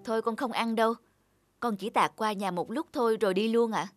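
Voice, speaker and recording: A woman's voice: on the high side, steady tone, brisk, dry recording, studio quality, faint music behind, natural voice. A woman voicing a busy, weary young working mother in a cartoon. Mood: brisk. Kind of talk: cartoon voice acting.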